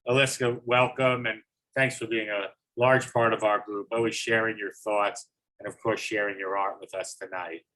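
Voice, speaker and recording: A man hosting an online artist event on a video call, reading out chat comments in a steady, level way. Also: fairly low pitch, normal speed, poor audio. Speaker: host.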